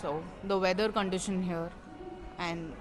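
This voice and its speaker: A young woman from India answering an interview in a measured way. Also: fairly low pitch, steady tone. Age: young adult. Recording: busy crowd behind.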